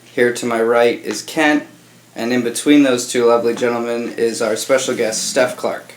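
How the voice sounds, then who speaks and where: A man's voice: medium pitch, little pitch movement, normal speed, a little hiss or hum. A man of about thirty hosting a casual group podcast chat. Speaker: podcast host. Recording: roomy echo, faint music.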